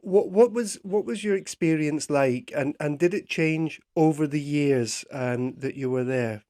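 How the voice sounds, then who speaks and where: A man's voice: a little high, unhurried, warm tone. A middle-aged man hosting a radio interview, often repeating words and restarting his sentences. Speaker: radio host.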